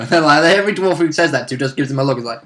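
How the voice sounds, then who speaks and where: A man with a slightly high voice chats casually as a player and co-host. He retells a tabletop session in a giggly way, acting out bits as he goes. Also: lively intonation, fast, a bit bright. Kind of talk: casual chat recapping a tabletop game.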